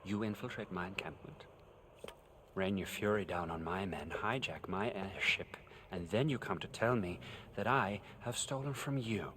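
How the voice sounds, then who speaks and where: An actor playing a coldly genteel, menacing villain in a video game, speaking in a formal, condescending, theatrical way. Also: male voice, low voice, normal speed, a bit bright, slight background noise. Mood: scornful, threatening. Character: coldly genteel, menacing male villain commanding armed men. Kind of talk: dramatic video game acting.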